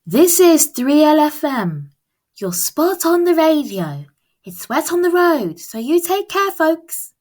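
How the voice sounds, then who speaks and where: A woman's voice: high-pitched, sing-song, slow pace, a little mellow, pitched above the performer's own voice, full character voice. A woman voicing an upbeat radio announcer in a storybook, with a chirpy, sing-song delivery. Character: upbeat radio announcer giving road weather advice. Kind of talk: storybook character voice.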